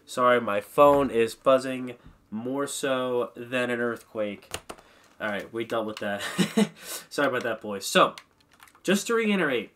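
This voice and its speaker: A man's voice: mid-range voice, expressive, unhurried, a bit bright, slight background noise. Rambling congested young gamer man. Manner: rambling, self-mocking, swears. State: slightly congested. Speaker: gaming commentator. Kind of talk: casual game commentary. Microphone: desk mic.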